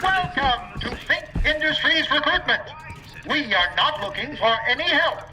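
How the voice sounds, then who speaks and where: A curt, high-voiced recruiter. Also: male voice, animated, measured pace, over music, rough recording. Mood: brusque. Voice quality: brusque, dismissive. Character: curt male recruitment office announcer.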